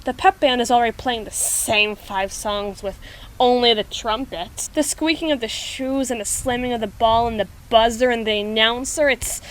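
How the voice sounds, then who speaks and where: A woman playing a proud, anxious, flustered schoolgirl in an ASMR audio roleplay, speaking in halting, formal phrases. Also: fairly high pitch, slight background noise, rough recording. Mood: overwhelmed. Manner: halting, prim, formal phrasing with trailing restarts.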